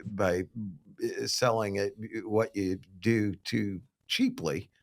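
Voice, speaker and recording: A man's voice: lowish voice, steady tone, slow, gravelly. A man co-hosting a small business podcast, asking questions and chiming in with short, easygoing agreements. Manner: easygoing, brief agreeing interjections. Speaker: podcast co-host. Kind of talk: interviewing, casual chat.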